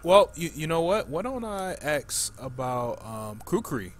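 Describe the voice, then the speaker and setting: A man's voice: a little high, big pitch swings, measured pace. A man hosting a casual gaming discussion as the podcast's moderator. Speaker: podcast host.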